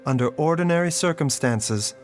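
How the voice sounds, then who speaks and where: An actor playing a composed, courteous doctor in a video game, speaking in a measured, formal and grave manner. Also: male voice, fairly high pitch, lively intonation, lightly acted. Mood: calm, serious. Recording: faint music behind voice. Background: background music.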